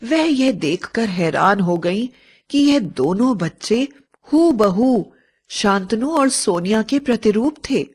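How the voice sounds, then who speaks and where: A woman narrating a scripted story as a storyteller, in a measured, dramatic manner. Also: a little high, lively intonation, a little mellow, slightly rough.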